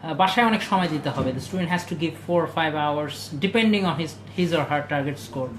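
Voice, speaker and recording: A man's voice: on the high side, a bit bright. Hesitant man trainer, roomy sound. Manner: hesitant, many fillers, slow deliberate phrasing. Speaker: IELTS trainer. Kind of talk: casual discussion, mixing in Bengali. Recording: echoey room with faint ambient noise.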